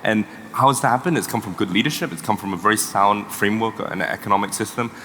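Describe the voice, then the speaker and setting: A startup co-founder from Singapore speaking in a fireside chat on stage, pitching fluently but restarting words now and then. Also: male voice, fast, sharp tone. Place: event hall. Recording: reverberant hall with faint noise.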